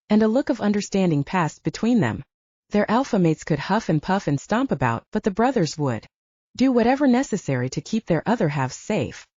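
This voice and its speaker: A woman's voice: mid-range voice, a little mellow. A woman reading a scripted fiction audiobook as its narrator. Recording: dull, roomy, echoing audio.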